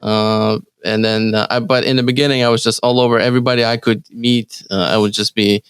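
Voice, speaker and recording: A man from Ukraine speaking English as his second language with a Ukrainian accent, answering interview questions in a halting way with many restarts and fillers. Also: lowish voice, flat delivery, a bit bright, clean tone, no room sound. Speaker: real estate team leader, guest.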